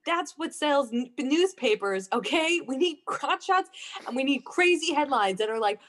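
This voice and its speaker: Female improviser as domineering newsroom chief: scornful, crude, very animated, high-pitched. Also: moderate pace. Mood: brash. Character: exasperated, domineering female boss of a dull newsroom. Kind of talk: improvised comedy sketch.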